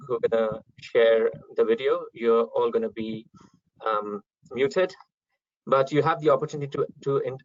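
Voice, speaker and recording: A man's voice: mid-range voice, no room sound. Guy hosting an online talk, polite and a bit hesitant, dry dull call sound. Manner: polite, organised, hesitant with fillers. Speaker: event host. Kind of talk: webinar hosting. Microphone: video call.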